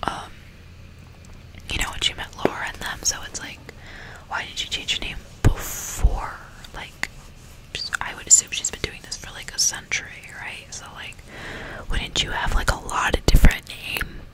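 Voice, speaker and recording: A woman whispering in a breathy, unvoiced hush, as an ASMR host reading aloud in an unhurried way. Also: low-quality recording. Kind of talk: whispered ASMR audiobook reading with casual asides.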